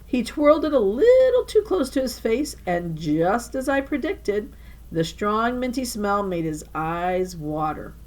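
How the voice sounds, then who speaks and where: A woman reading a children's novel aloud like a teacher to her class, with animated storytelling and emphatic phrasing. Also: a little high, a little slow. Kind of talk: expressive children's novel narration.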